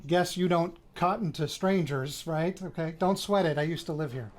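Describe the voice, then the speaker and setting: Male actor as American radio reporter, slightly high voice, audio drama, halting recorded diary, dry humor, self-interrupting. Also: close to an everyday voice. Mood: wry, chatty. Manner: hesitant, self-interrupting, wryly joking. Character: rattled, wry young man, radio reporter returning home.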